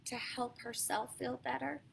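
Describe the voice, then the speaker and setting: An American woman reading picture books aloud to children in an expressive, dramatic way, stepping aside to talk to her listeners. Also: on the high side, a little slow, gravelly, slight background noise. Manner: expressive, dramatic emphasis, asks listeners questions. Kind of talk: animated read-aloud storytelling for young children, with asides.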